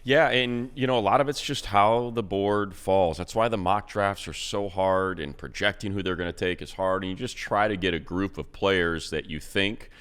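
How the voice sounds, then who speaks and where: A man's voice: deep voice, moderate pace, hardly any pauses, fairly bright tone, clear, resonant tone. A man, a radio play-by-play announcer, giving casual, rambling football analysis with plenty of fillers. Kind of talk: casual sports talk.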